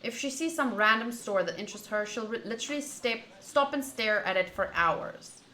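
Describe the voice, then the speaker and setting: A woman's voice: fairly high pitch, moderate pace, bright, forceful tone. A woman streamer doing a casual game playthrough, reading text aloud and commenting as she goes. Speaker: streamer playing a visual novel. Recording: roomy echo, faint background noise.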